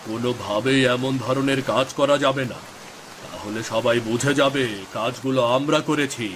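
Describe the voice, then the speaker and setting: A man's voice: mid-range voice, normal speed, close to an everyday voice. A man voicing a scheming, gloating village landowner in a cartoon story, commanding and conspiratorial in manner. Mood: cautious, commanding. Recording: rain noise behind the voice.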